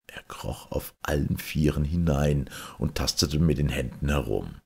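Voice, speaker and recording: A man reading a fairy tale aloud in a husky, gravelly voice, dramatic and deliberate. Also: very deep voice. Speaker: storyteller, audiobook reader. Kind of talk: expressive fairy-tale narration.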